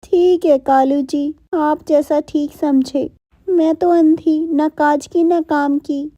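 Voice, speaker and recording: A woman's voice: high-pitched, steady tone, slow, warm tone, no echo. A woman voicing a meek, devoted blind wife bird in a cartoon, deferential and plaintive. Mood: resigned.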